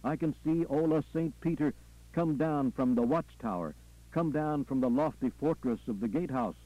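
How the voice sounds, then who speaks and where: A fervent preacher acted out by a man in full sermon flight. He chants in folksy, repeated phrases with punctuating exclamations at a medium male pitch. The sound is dull and lo-fi, like an old radio. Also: normal speed, no echo, natural voice. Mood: exultant. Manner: folksy, rhythmic repetition with punctuating "aha" and "ah, well". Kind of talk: call-and-response funeral sermon.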